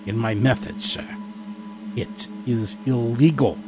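A man's voice: medium pitch, takes it slowly, darkish tone, natural voice. A haughty elderly doctor acted by a male storyteller holds forth with scornful, theatrical emphasis. He is stern and condescending, every bit the persuasive scientist of an audio horror tale, with music underneath. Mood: scornful persuasion.

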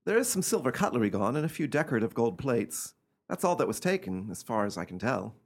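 A man acts out a haunted, high-handed gentleman in a radio horror play. His mid-pitched voice swings widely up and down, agitated and commanding, with a melodramatic edge. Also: sing-song, fast. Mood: guarded. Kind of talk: amateur radio play acting.

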